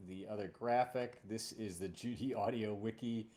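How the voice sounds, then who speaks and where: A man with a fairly low voice hosts a livestream. He talks casually and off the cuff, as in a relaxed chat with a guest. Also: moderate pace. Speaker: stream host.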